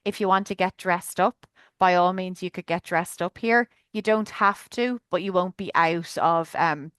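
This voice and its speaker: A woman's voice: fairly low pitch, normal speed, a bit bright, smooth, dry recording. An Irish woman chattily presenting a trip on a video call webinar, enthusiastic with lots of fillers. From Ireland. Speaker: tour guide presenting a trip.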